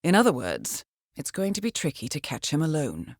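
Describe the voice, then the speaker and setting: An actress playing a composed, shrewd intelligence handler in a video game, giving mission briefings in a measured, precise and dryly wry way. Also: female voice, sits low, wildly swooping pitch, no echo, studio quality, exaggerated voice. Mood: composed, intrigued.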